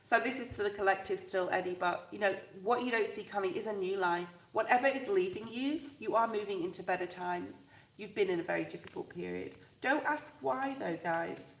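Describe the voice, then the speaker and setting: A woman with a stuffed-up, fluey voice, chatting warmly and reassuringly to viewers during a live tarot reading. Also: medium pitch, fairly quick, creaky, echoey room, slight background noise, poor audio. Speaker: tarot reader.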